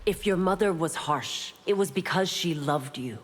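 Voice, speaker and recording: An actress playing a stern, loyal police constable in a video game, speaking in a measured, formal and firm way. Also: female voice, lowish voice, lightly acted. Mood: formal, respectful. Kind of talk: video game dialogue acting. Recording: long hall-like reverb.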